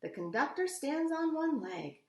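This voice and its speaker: American lady reading a kids picture book, really lively, bit of room echo. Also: high-pitched, unhurried. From United States. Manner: animated, addressing young listeners. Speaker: children's story reader. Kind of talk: expressive picture book read-aloud with casual asides. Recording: echoey room.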